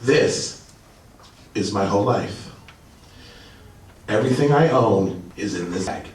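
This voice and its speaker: A man's voice: on the low side, animated, takes it slowly. A man in his thirties giving direct, plain personal testimony at a hearing. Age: 30s. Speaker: testifying witness. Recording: very echoey hall, distant mic.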